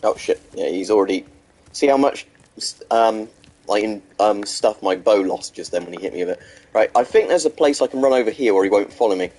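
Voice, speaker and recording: A man's voice: lowish voice, expressive, a little fast, darkish tone. A man streaming a video game, casually chatting with viewers and hesitating often, with a slight sore throat and a blocked nose. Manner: rambling, answers chat questions between gameplay remarks; hesitant, many ums and restarts. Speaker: gaming streamer. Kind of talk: casual live-stream commentary while playing.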